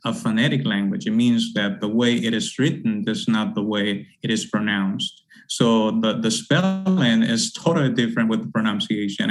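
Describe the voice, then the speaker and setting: Man, university teacher, presentation talk, somewhat low voice, halting, repeats words. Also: low-quality recording. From Honduras. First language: Spanish. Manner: rambling, many fillers and repeated words. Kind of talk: academic presentation.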